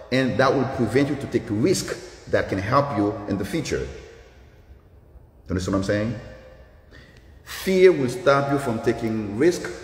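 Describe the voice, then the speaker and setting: A man with a Haitian accent, a native Creole speaker, giving an emphatic, repetitive, preaching motivational talk on a live stream. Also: medium pitch. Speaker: dance instructor. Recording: echoey reverberant room.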